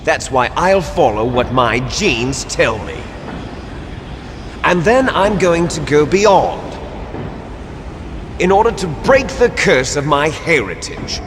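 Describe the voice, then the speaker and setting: A man's voice: on the high side, big pitch swings, slow, long pauses, noisy background. An actor playing a fanatical male rebel leader in a video game, declaiming dramatically with taunting menace. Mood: solemn, menacing. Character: theatrical male villain, fanatical rebel leader obsessed with genes. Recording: long hall reverb. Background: game music.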